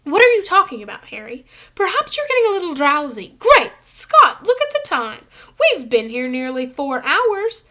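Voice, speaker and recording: A woman voicing a vain, self-satisfied wizard teacher who gushes loudly about his own fame. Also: high-pitched, huge pitch swings, moderate pace, pitched above the performer's own voice, full character voice. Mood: breezy. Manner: gushing, self-satisfied, loud. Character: vain, pompous celebrity wizard teacher, adult man.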